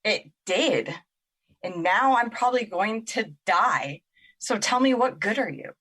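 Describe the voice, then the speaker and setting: Woman acting as a bitter skeptical girl, slow delivery, very expressive pitch, audio drama. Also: slightly rough. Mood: bitter, hurt.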